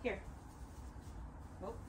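A middle-aged woman handling her dog in a trial, giving quiet commands and praise in a coaxing tone. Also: extremely slow, heavy background noise. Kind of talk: coaching a dog during a trial. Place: large open-sided barn with hay bales. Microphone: far camera. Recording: echoey barn, distant mic, loud ambience.

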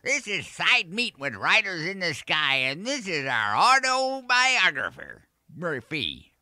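Casting: cranky old western sidekick, high and wildly swooping, for comedy radio. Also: male voice, wildly swooping pitch, slow pace, pushed and bright, no room sound, clean studio sound, heavily acted. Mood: comic, drawling.

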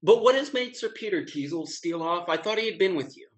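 A man's voice: sits high, animated, brisk. A young male actor playing a breezy, irreverent rake of a younger man in a jaunty period stage comedy. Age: young adult. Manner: jaunty, mocking period speech. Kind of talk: Restoration-style stage comedy over video call. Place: home room with bookshelves, video call.

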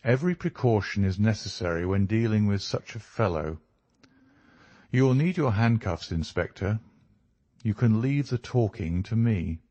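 A man voicing a composed, authoritative detective in a storybook reading, formal, measured and stern. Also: lowish voice, animated, warm tone, no echo, highs cut off. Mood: quiet, assured.

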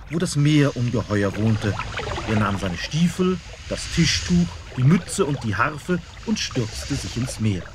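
An expressive male fairy-tale narrator. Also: medium pitch, noisy background. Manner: storytelling, expressive.

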